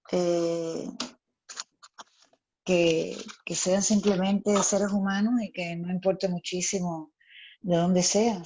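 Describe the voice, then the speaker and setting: A woman with a Cuban Spanish accent, answering interview questions thoughtfully with many pauses and fillers. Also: lowish voice, mellow, highs cut off. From Cuba. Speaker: actress, interview guest.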